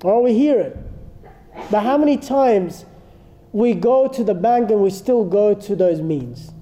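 A man's voice: sits high, animated, unhurried, lo-fi sound. A man giving an earnest religious lecture to a congregation, explaining points step by step and dropping in Arabic phrases. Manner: calls himself soft-spoken but firm today. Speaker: Islamic teacher.

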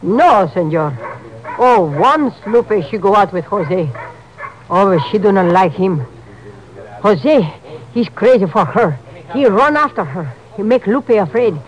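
A woman's voice: medium pitch, animated, measured pace, dull audio, lightly acted. An actress playing a wary, hardworking Mexican mother in a 1950s radio drama, speaking emphatic broken English with a Mexican Spanish accent. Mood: defensive, scornful. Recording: old radio drama with music.

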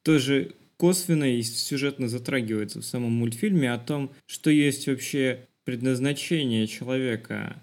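A man co-hosting a podcast, reflecting on film in a philosophical, measured way. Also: mid-range voice. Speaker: podcast co-host.

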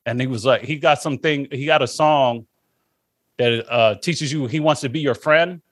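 A young adult man co-hosting a podcast, chatting casually with profane jokes and quick silly voice bits. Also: medium pitch, dry recording, clean studio sound. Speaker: podcast co-host. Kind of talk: casual chat.

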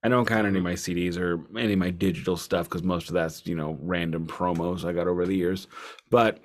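A man's voice: low-pitched, steady tone, fast. A man co-hosting a casual music discussion, talking in a wry, emphatic and discursive way. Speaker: album review podcast co-host.

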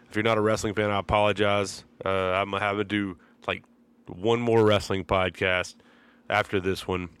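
A man's voice: sits low, a bit bright, smooth. A man hosting a podcast, his voice froggy and congested from a sinus infection, talking in a laid-back, rambling way. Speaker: podcast host. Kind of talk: casual podcast intro and chat.

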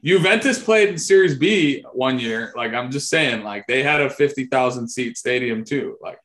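A man's voice: medium pitch, animated, normal speed, fairly bright tone. A man as a podcast guest, talking loosely and jokingly with lots of filler words. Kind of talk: casual podcast chat.

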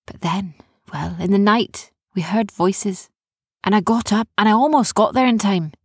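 A woman voicing a fierce but warm-hearted female pirate leader in a children's story, with colloquial turns of speech. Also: medium pitch, normal speed, husky, dry recording, studio quality. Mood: grave, sorrowful. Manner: colloquial, says yous and lassie.

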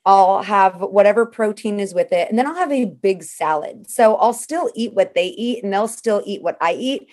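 A woman's voice: medium pitch, lively intonation, normal speed, few pauses, a little mellow. A woman guest in a casual interview, talking in a chatty, practical way and walking through things step by step.

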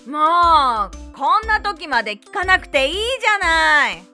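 A woman playing a worried, gently scolding young mother in an amateur radio drama, expressive and casual. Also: sits high, a little slow, faint music behind, exaggerated voice.

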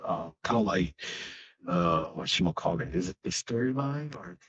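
A man from Haiti in his twenties, a guest musician speaking haltingly and searching for words in a casual interview. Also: sits low, lively intonation, a bit bright. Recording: dull lo-fi sound.

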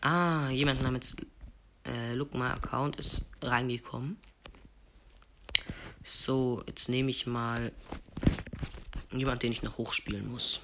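A man with a cold giving casual, slangy running commentary on a mobile game he is playing, sometimes dropping to hushed asides. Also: mid-range voice, steady tone, takes it slowly, long pauses, noisy background. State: has a cold. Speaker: gaming video host. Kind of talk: live gameplay commentary.

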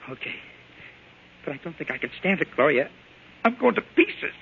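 A man playing a frightened, weak-nerved accomplice in a 1940s American radio thriller, nervous and pleading with a raspy voice. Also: a little high, big pitch swings, moderate pace, a little hiss or hum. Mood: panicky. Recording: muffled old-time radio recording.

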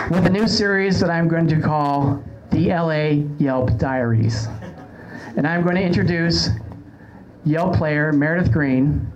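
A woman's voice: low voice, a little mellow, poor audio. A woman hosting a podcast live, delivering a sarcastic, mock-grand comic rant to an audience. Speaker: podcast host. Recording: live room with crowd noise.

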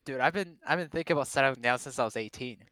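A man's voice: mid-range voice, fast, bright, forceful tone, no room sound. A man in his late twenties from Canada, a Cantonese speaker, telling rambling, profane, self-mocking stories in a casual chat. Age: 20s. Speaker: guest.

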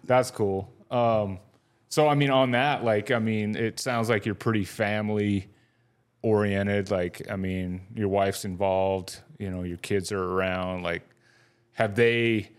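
A man's voice: lowish voice, steady tone, a little slow, clear, resonant tone. A man in his forties hosting a podcast, easygoing and chatty, with casual swearing. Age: 40s. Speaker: podcast host. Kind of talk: casual interview chat.

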